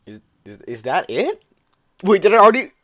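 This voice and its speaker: A man streaming a game live, reacting casually in a halting, bemused way. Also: sits high, big pitch swings, a bit bright. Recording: dull lo-fi mic with faint music.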